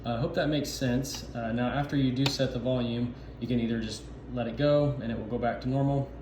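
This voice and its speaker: A man giving an informal instructional walkthrough of equipment, explaining in a halting, improvised way. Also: mid-range voice, fairly even intonation. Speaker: sound system installer giving a walkthrough. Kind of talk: informal instructional demo narration. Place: indoor camp office. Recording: echoey room, noisy ambient background.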